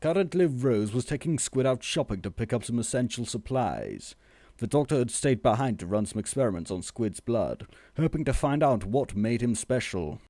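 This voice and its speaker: A man reading a scripted comic story as narrator, measured and deadpan. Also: mid-range voice, dry recording.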